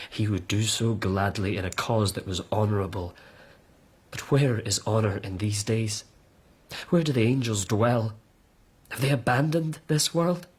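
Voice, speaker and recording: Radio drama: idealistic, intense warrior aristocrat, deepish husky voice, solemn, declamatory. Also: male voice. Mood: anguished, commanding. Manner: grave, declamatory, lofty. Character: brooding, high-minded warrior lord, disgusted with decadence.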